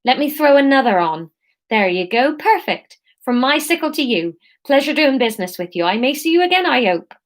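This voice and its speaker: A woman's voice: high voice, big pitch swings, fairly quick, pitched above the performer's own voice. Woman putting on a chirpy Somerset market seller. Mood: warm, sly. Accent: put-on Somerset. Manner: chatty, homely sales patter. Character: friendly female market seller, nervous spy.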